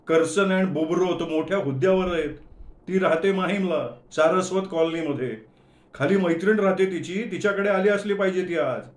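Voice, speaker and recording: A man's voice: high-pitched, pitched above the performer's own voice, lightly acted. A man voicing a timid, fretful young man who talks in anxious, pleading bursts. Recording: roomy echo.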